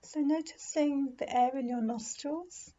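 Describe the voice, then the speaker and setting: A woman leading a guided meditation in a gentle, soothing, unhurried manner with pauses. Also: sits high, highs cut off. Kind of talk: guided body scan meditation.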